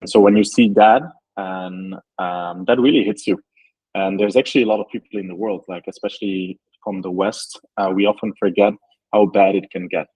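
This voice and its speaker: A man's voice: sits low, steady tone, moderate pace, a little mellow, husky, no echo, rough recording. A man from Belgium, a startup founder, answering interview questions thoughtfully with many ums and likes.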